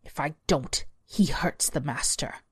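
Woman voicing a slow, guttural demonic hound. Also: low voice, husky, no room sound, heavily acted. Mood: sorrowful. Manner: slow, deliberate menacing delivery. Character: massive demonic male devil dog, sneering, menacing yet loyal.

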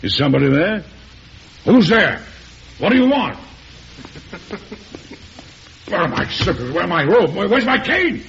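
An actor playing a proud, stubborn older American president in a folksy, emphatic old radio drama style. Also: male voice, sits high, sing-song, slow pace, gravelly, long pauses, a bit bright, full character voice. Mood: startled. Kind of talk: 1940s radio drama acting, narrating and playing. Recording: hissy, dull old radio recording.

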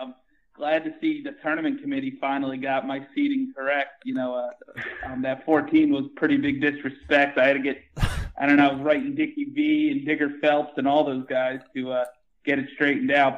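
A man's voice: medium pitch, fairly quick, narrow, tinny audio. A man calling in to a podcast, chatting casually with lots of fillers. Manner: relaxed, many fillers. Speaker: caller, podcast contestant. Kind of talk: casual chat.

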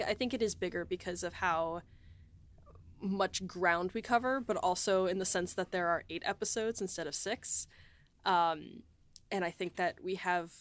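A woman's voice: fairly low pitch, fairly bright tone, no echo. A woman hosting a casual podcast chat, gushing and enthusiastic in how she talks. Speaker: podcast host and audio drama writer.

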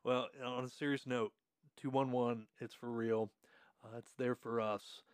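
Middle-aged male host, mid-pitched, friendly and easygoing, dry studio sound. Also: level tone, measured pace, clear, resonant tone, no echo. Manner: friendly, easygoing, laughs often. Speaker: podcast host. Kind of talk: casual podcast chat. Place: podcast room.

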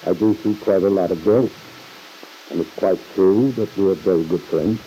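Guy acting concerned kindly uncle in old radio soap, lowish voice, dull muffled hissy recording. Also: warm tone, lightly acted. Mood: gently concerned. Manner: measured, patient, kindly. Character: earnest, concerned uncle, a bank man talking gently to his niece.

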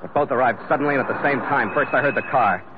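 A man's voice: medium pitch, quick pace, background noise, close to an everyday voice. Man acting hard-boiled captain narrator, vintage radio. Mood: tense, restrained. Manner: hard-boiled, terse, dramatic delivery. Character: tough sea captain hero, hard-boiled and hot-tempered. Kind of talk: 1940s-50s radio adventure drama acting with first-person narration. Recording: dull vintage radio recording, loud music behind.

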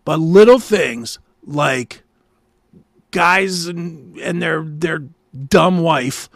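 A man's voice: on the high side, extremely slow, vocal fry, no echo, studio quality. An American man talking casually as a talk show co-host, blunt, profane and cantankerous. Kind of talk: casual chat, ranting.

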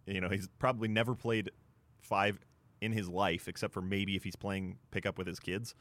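Lower-voiced man chatting about sports. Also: little pitch movement, normal speed, a bit bright, no room sound. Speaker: sports talk show co-host. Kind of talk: casual sports discussion.

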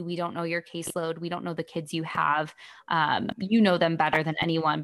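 A woman co-hosting a professional podcast, explaining practical tips in casual chat while her voice keeps going in and out and she clears her throat. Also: lowish voice, fairly bright tone, no echo. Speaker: podcast co-host, speech-language pathologist.

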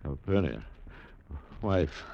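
An actor playing a proud, dread-gripped Roman ruler in a theatrical, imperious radio drama style. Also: male voice, rumbling low, expressive, takes it slowly, creaky, mellow, muffled recording, heavily acted. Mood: shaken. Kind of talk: classic radio drama acting.

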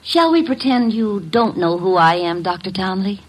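An actress in her twenties playing a hot-tempered young woman in 1940s radio drama style, cold and clipped with a husky voice. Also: mid-range voice, lively intonation, normal speed, close to an everyday voice, poor audio. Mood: icy. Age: 20s.

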